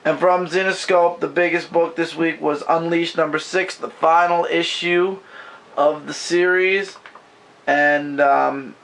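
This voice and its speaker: Hoarse, gravelly man rambling about comics. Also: fairly high pitch, steady tone, takes it slowly, a bit bright, low-quality recording. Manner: rambling, loose and self-correcting. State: losing his voice, hoarse. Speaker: comic review co-host behind the camera. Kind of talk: casual comic book review chat. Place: bedroom at home. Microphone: camera mic, speaking from behind the camera.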